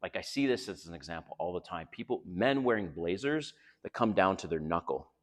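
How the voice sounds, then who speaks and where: Blunt older Canadian man, deep, roomy echo. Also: lively intonation, brisk. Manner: blunt, frank, addresses viewers directly. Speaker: dating advice vlogger. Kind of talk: casual advice monologue.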